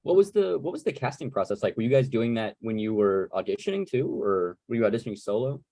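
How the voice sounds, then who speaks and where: A man's voice: mid-range voice, sing-song, fast, mellow, no echo. A man moderating a casual video call interview, speaking reflectively and articulately.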